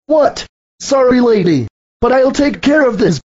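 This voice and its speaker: A man playing a short-tempered coffee shop manager who barks orders and yells at his staff. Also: very high-pitched, lively intonation, unhurried, breathy edge, dull audio, full character voice. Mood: stern. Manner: yelling, bossy.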